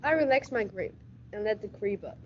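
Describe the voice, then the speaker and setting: A woman's voice: mid-range voice, lively intonation, moderate pace, natural voice. A hard-nosed, wisecracking detective acted by a teenage woman narrates a radio play in hardboiled style. The delivery stumbles and repeats now and then, on a slightly dull recording with faint noise. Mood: dry, hardboiled narration. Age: teens. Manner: stumbles and repeats words while reading. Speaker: school radio drama actor.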